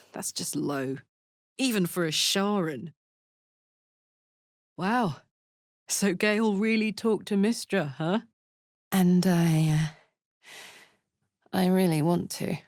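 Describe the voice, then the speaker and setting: An actress playing a brash, earthy, big-hearted woman warrior in a video game, blunt and informal, quick to swear. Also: on the low side, a little mellow, no room sound. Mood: wry, casual. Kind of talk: video game character voice acting. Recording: dry close studio recording.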